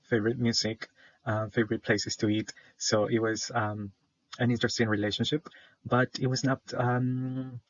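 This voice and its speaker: Shy young salvadoran guy presenting for class, flat voice, lots of uhs, dull dry recording. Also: mid-range voice, no room sound. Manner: hesitant, many fillers, shy. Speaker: student presenter.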